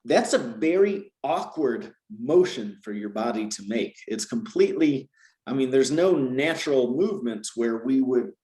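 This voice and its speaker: A man in his conversational stride explains language learning as a teacher, tagging his points with quick checks for agreement. His medium-pitched voice bounces around a roomy, echoing space. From Georgia, US South. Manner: animated, explains with repeated "right?" tags. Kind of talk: conversational interview.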